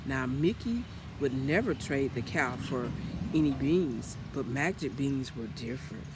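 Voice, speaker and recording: A man reading a children's story aloud as storyteller, in an expressive manner. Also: medium pitch, a little slow, background noise. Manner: expressive, with acted character lines.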